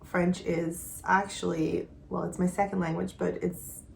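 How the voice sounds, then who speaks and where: Gravelly-voiced homeschooling mother, faint background noise. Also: fairly low pitch, flat delivery, unhurried. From Quebec, Canada. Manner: gentle, rambling, searching for words. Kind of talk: casual vlog update.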